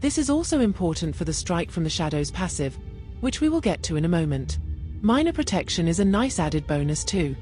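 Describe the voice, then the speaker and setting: Lively low-pitched woman narrating a game guide. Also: fairly quick, hardly any pauses. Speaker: gaming video creator and narrator. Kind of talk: game build guide voice-over. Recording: music behind the voice. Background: music.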